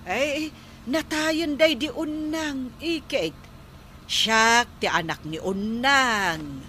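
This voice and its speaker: An actress playing a patient, polite young wife in a radio comedy drama, speaking softly and respectfully. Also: female voice, high-pitched, drawn-out words, slight background noise, exaggerated voice.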